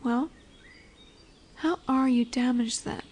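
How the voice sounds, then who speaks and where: A woman voicing a dominant lamia in a roleplay audio, curious and condescending yet nurturing, speaking deliberately with teasing, soothing near-whispers. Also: fairly high pitch, fairly even intonation, darkish tone. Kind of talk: slow roleplay audio monologue addressed to the listener. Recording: birdsong and woodland ambience behind. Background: birdsong, forest ambience.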